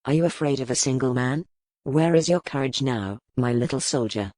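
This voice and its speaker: An actor playing a stern, brooding medieval duke in an audiobook, with a commanding, formal manner. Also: male voice, mid-range voice, moderate pace, darkish tone, no room sound, clean studio sound, lightly acted. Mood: taunting, commanding. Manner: commanding, formal period speech. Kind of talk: dramatic audiobook character acting.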